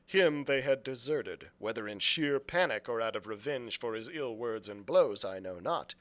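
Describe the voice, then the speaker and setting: A man's voice: mid-range voice, animated, normal speed, fairly bright tone. A man reading an adventure novel aloud as a librarian, in dramatic storybook narration.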